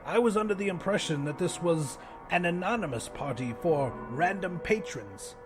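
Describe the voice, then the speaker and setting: Audiobook character: male narrator as bookish man in his sixties, courteous, hesitant, slightly high-pitched, faint music. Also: expressive, normal speed. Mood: politely curious. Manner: formal, hesitant. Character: polite, bookish 62-year-old English professor, bewildered.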